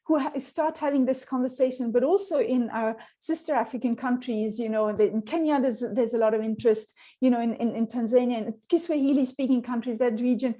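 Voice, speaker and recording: A woman whose first language is Swedish answers audience questions as a scientist. Her voice sits a little high but sounds warm and mellow. She talks thoughtfully, with frequent fillers and restarts. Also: steady tone, a little fast, hardly any pauses. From Sweden. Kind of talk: online Q&A answer.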